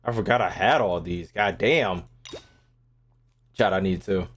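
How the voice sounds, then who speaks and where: A man's voice: deep voice, unhurried. A man livestreaming a game, chatting casually to his viewers in slangy, profane, joking talk. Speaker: game streamer.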